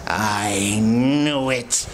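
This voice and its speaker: A man playing a calm, commanding invisible crime fighter in an old-time radio drama, speaking deliberately and probingly. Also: fairly low pitch. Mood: probing, assured. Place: live performance in a café. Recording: noisy live stage recording.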